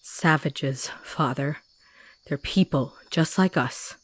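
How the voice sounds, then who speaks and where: Casting: actress as guilt-ridden young huntress, low voice, soft confiding delivery, gentle rebel, audio roleplay. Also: female voice, slow, whispered. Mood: pleading, defiant. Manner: soft, confiding, addressing the listener directly. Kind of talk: intimate roleplay audio drama acting.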